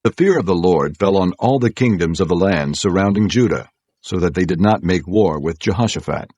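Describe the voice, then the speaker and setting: Low-pitched man narrating scripture, dry sound. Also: animated, normal speed, darkish tone, breathy edge, no room sound. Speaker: audiobook narrator.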